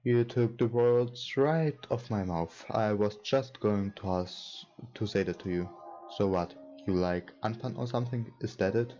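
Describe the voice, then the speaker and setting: A man hosting a let's play, reading game text aloud haltingly and adding casual commentary. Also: lowish voice, warm tone, dull audio, a little hiss or hum. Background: game music.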